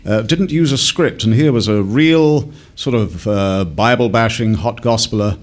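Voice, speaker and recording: A man's voice: lowish voice, unhurried. A man in his sixties, a former radio DJ, reminiscing at a panel with hesitant, word-searching speech.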